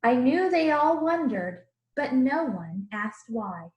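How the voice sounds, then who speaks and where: A woman voicing a gentle, earnest Jesus who tells his own storybook tale in rhythmic rhyme. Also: sits high, big pitch swings, slow pace, dull audio, exaggerated voice. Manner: rhythmic rhyming storybook delivery. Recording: roomy, echoing room sound.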